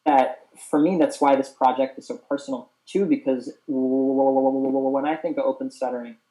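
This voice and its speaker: A man's voice: mid-range voice, fairly even intonation, brisk, warm tone, soft background music, rough recording. A young man hosting a casual group chat who stutters openly with repeated sounds and talks in an earnest, open way. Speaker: host.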